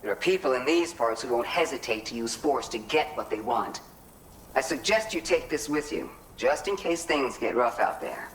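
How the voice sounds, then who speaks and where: An actor playing a practical, helpful supply contact in a video game, giving matter-of-fact advice. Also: male voice, mid-range voice, expressive, moderate pace, echoey room, slight background noise, natural voice, poor audio. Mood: practical, cautioning. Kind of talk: video game cutscene dialogue.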